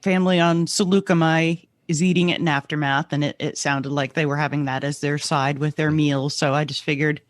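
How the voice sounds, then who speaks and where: A podcast panelist with a medium-pitched voice chats casually with the group. The sound is dry, with no room around it. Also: level tone, a little fast, hardly any pauses, dry recording.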